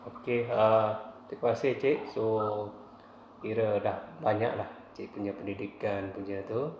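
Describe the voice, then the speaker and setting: A man's voice: fairly low pitch, flat delivery, unhurried, darkish tone, lo-fi sound, slight background noise. A man, a student conducting a formal interview, polite and hesitant with many fillers. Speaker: interviewer, distance-learning student. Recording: roomy, distant mic, faint ambience.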